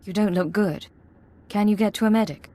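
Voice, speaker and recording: A woman playing a composed undercover Imperial agent in a video game, measured and businesslike. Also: medium pitch, warm tone, lightly acted. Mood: firm.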